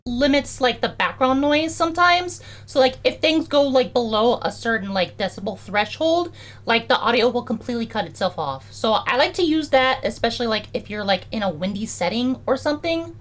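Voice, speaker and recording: A young adult streamer with a high-toned voice, chatting casually and filling every pause with "like" and "um" while working through audio edits live. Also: lively intonation, fairly bright tone. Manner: chatty, heavy use of "like" and "um".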